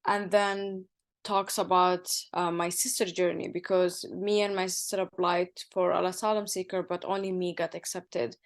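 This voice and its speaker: Soft-spoken woman from Somalia, low and flat. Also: moderate pace. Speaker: panelist. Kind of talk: speaking on a panel.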